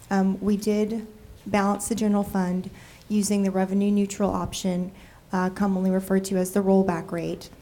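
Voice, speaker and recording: A woman with a cold, nasal and froggy, presenting a city budget to council in a measured way with frequent ums. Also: mid-range voice, dark tone, slight background noise. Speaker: city deputy budget director. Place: council chamber.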